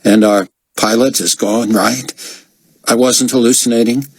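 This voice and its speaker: A man's voice: mid-range voice, fairly even intonation, unhurried, vocal fry, husky, no echo, voice pushed up. Brief: a man playing a hurt man recovering in bed, direct and questioning, dry close read. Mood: concerned.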